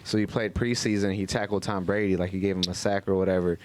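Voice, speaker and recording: Low-voiced young man telling casual stories. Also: steady tone, fast. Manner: slangy, quotes people as he tells stories. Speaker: podcast host. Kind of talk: casual conversational storytelling.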